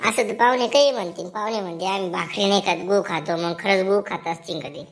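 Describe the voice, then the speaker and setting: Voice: mid-range voice, quick pace, gravelly, lightly acted. A performer playing a cheeky, back-talking village schoolboy in a comedy cartoon, with a rural Maharashtrian accent. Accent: rural Maharashtrian Marathi. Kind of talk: comedy cartoon dialogue in colloquial Marathi.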